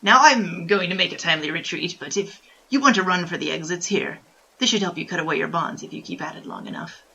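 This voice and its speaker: A man playing a sly, flippant bandit king in an audio drama, with a creaky, husky voice and an arch, dry manner. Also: high-pitched, fast, bright, forceful tone, dull audio, full character voice. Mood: arch, unbothered.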